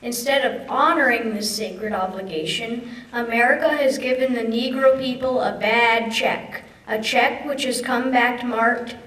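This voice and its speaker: A young woman with a slightly high voice gives a formal reading. She is heard from a distance in a reverberant hall. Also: steady tone, a little slow, few pauses, a bit bright. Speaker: student reader. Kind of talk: dramatic reading of a historic speech. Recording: echoey hall, distant microphone.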